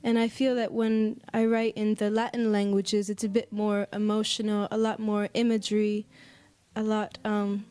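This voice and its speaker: An American woman speaking casually in an interview as a singer-songwriter, thoughtful and halting, often pausing mid-phrase. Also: mid-range voice, flat delivery, mellow, smooth. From United States. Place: apartment.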